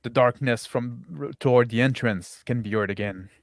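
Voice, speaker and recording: A man improvising narration as game master of a tabletop roleplaying game, in a halting, repetitive way. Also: medium pitch, no echo.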